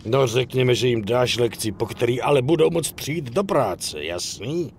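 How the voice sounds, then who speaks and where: Actor as swaggering union boss, animated. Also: male voice, medium pitch, slight background noise, natural voice. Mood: persuasive, slick. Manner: bossy, blunt, swearing, talks down to others. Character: cynical, foul-mouthed middle-aged male dockworkers' union boss. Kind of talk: dubbed crime game cutscene acting.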